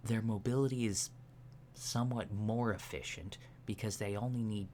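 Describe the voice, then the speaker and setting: A man playing an earnest, matter-of-fact alien instructor in human disguise, speaking softly and deadpan in an ASMR roleplay lecture. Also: fairly low pitch, moderate pace, lightly acted. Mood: calm, instructive. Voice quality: soft, hushed voice. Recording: lo-fi with steady background noise.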